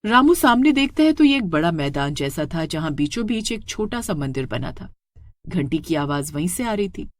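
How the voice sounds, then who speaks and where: A woman's voice: on the low side, big pitch swings, moderate pace, no echo, clean studio sound. A woman narrates a scripted horror story in a dramatic, suspenseful way.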